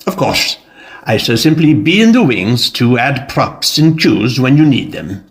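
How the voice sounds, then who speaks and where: A self-assured Victorian man of letters in an audio drama. His voice is of middling pitch. He speaks formally and urbanely, with courteous, faintly pompous phrasing. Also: big pitch swings, unhurried.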